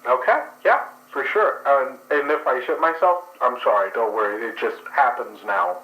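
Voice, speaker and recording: A man's voice: mid-range voice, normal speed, bright, forceful tone, roomy sound, lightly acted, rough recording. A man voicing a crude, irritable man with skunk traits in an actual play podcast, talking in a profane, sardonic way.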